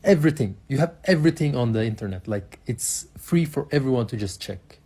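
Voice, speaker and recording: Dark-toned man, relaxed matter-of-fact explainer. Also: medium pitch, brisk, breathy edge. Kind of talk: casual talk to camera. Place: Sweden.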